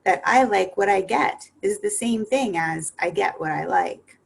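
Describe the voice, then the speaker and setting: A woman's voice: medium pitch, a little slow, creaky, husky, heavily acted. A woman reading as a contrary talking hare.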